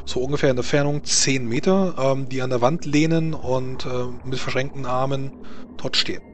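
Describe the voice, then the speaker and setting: A man's voice: mid-range voice, moderate pace. A man running a tabletop role-playing game as game master, narrating scenes and rulings to his players. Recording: noisy background with room ambience.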